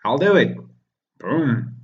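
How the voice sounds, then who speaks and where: Slow-talking man reacting with laughter. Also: mid-range voice, muffled recording. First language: not English. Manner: excitable, laughs often, reads lines aloud. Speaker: reaction video host. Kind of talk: live reading and reaction.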